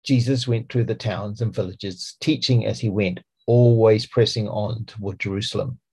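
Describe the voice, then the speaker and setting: A man with a New Zealand accent, a church speaker reading scripture aloud for a podcast in a measured, expressive way. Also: fairly low pitch, husky.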